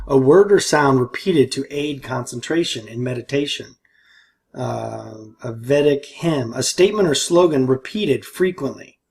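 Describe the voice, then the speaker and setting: A man giving casual, disapproving commentary and reading aloud somewhat haltingly. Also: medium pitch, darkish tone.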